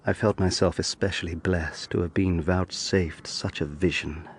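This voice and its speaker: An actor playing an earnest young eighteenth-century lawyer, narrating his tale gravely and intensely in an audio drama. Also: sits low, fairly even intonation, normal speed, a little hiss or hum. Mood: solemn, reverent.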